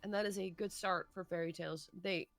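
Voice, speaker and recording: A woman's voice: lowish voice, fairly even intonation, fairly quick, vocal fry, faint music behind. A woman commentating live on a racing game, adding analysis as an esports co-caster.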